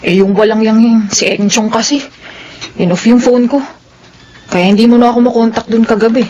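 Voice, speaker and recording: A woman's voice: on the high side, steady tone, brisk, darkish tone, natural voice. An actress playing a stressed, suspicious woman questioning her sweetheart in an audio soap drama, with an insistent, probing manner. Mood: resigned. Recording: dull, noisy lo-fi audio.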